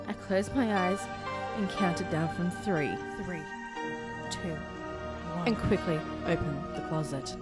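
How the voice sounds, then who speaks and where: A woman narrating a horror story in a dramatic, suspenseful manner, with deliberate pauses. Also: mid-range voice. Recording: loud music under the voice. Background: prominent background music.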